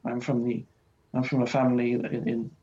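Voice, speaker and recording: A man from Wales, a guest scholar talking in a relaxed interview, rambling with lots of restarts and fillers. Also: mid-range voice, a little fast, lo-fi sound, a little hiss or hum. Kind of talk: conversational interview.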